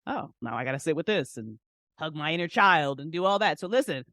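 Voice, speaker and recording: A woman's voice: low-pitched, animated, fairly quick, a bit bright, no room sound, studio quality. A woman guest on a podcast, chatting casually in a frank, emphatic and encouraging way. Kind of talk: casual chat.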